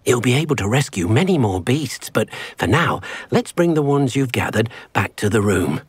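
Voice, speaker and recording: An actor playing a gentle, earnest, small elderly house-elf servant in a video game, who speaks of himself in the third person. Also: male voice, medium pitch, expressive, normal speed, slightly rough, dry recording. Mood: helpful, polite. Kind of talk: scripted video game character acting.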